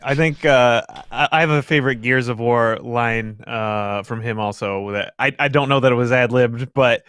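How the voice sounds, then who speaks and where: A man's voice: mid-range voice, normal speed, a bit bright, smooth, no echo, clean studio sound. A man, telling things loosely and informally as a podcast panelist. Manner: loose, informal storytelling with swearing. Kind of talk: casual chat.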